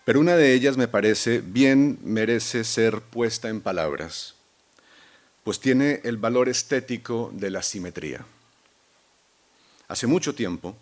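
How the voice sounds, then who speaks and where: A man with a Colombian accent, a novelist accepting a prize, speaking in a measured, reflective way with soft hesitations. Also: on the low side. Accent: Colombian Spanish. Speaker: award-winning novelist.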